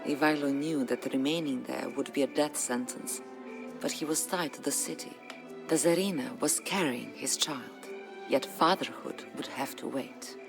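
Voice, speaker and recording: An actor narrating a historical game campaign as a solemn storyteller, with grave, measured, dramatic delivery. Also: medium pitch, creaky, lightly acted, poor audio. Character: solemn storyteller chronicling a medieval Bulgarian uprising. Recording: music under the voice. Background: background music.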